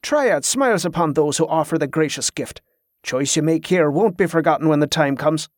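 Man acting an imposing pilgrim priest, thick invented accent, commanding, clipped phrasing, raised pitch, dry sound, fantasy audiobook. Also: lively intonation, moderate pace, no room sound, close to an everyday voice. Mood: irritated, veiled threat. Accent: thick fictional foreign accent. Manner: clipped inverted syntax, commanding requests.

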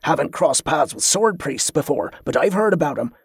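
A man's voice: a little high, lively intonation, moderate pace, creaky, slightly rough, dry recording, clean studio sound, exaggerated voice. A man voicing a sardonic, needling travelling cook in a fantasy audiobook, speaking in a wry, colloquial mutter.

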